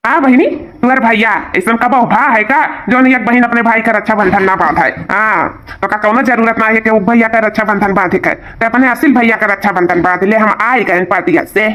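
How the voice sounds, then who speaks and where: A performer voicing a caring young brother back from working abroad in an Awadhi cartoon comedy, calmly soothing and explaining. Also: mid-range voice, a little fast, natural voice. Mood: consoling.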